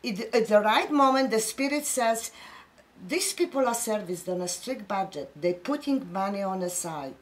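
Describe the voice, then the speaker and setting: A woman's voice: mid-range voice, expressive, normal speed. A middle-aged woman from Poland with a Polish accent, hosting a casual spiritual chat and telling stories earnestly and emphatically.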